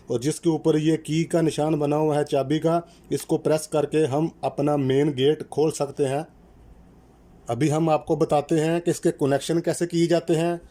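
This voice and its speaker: Higher-pitched man giving step-by-step instructions. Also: mellow. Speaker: electrician presenting a how-to channel. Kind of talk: step-by-step product installation tutorial.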